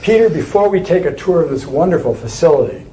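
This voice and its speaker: A man's voice: a little high, expressive, fast, dark tone. A man hosting a webinar interview, with friendly, slightly rambling introductions. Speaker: webinar host. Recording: echoey room with faint noise.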